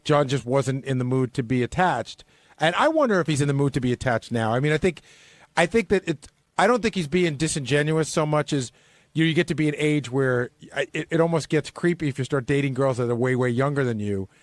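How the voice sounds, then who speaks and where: A man's voice: medium pitch, animated, a little fast, no room sound. A middle-aged man hosting a talk show, arguing his points insistently in unscripted radio talk.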